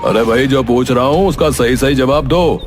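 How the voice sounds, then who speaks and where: A man's voice: mid-range voice, moderate pace, over music, close to an everyday voice. A man voicing a stern, threatening village police chief in a cartoon moral story, scolding and giving orders. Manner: commanding, scolding. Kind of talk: animated Hindi moral story acting.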